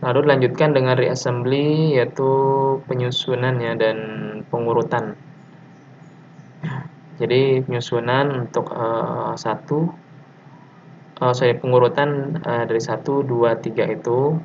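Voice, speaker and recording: A man gives an informal lecture presentation over slides, explaining technical material and often pausing mid-sentence. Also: medium pitch, fairly even intonation, darkish tone. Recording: dull lo-fi audio with faint noise.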